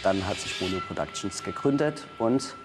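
A man from Saxony speaks in an interview about his arts company, talking slowly with some hesitation and fillers. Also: low voice, expressive, music in the background. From Saxony, Germany. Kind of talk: interview statement.

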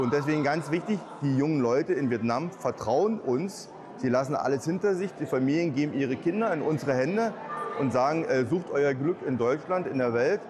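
A plain-spoken man gives an interview as a local business owner. He talks off the cuff in everyday language, at a medium pitch. There is clear crowd noise around him. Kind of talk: spontaneous interview talk. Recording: busy crowd noise behind.

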